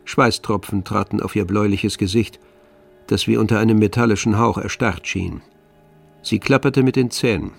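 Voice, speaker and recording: A man narrating a literary radio drama in a measured, grave manner. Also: on the low side, fairly even intonation, vocal fry, faint music behind.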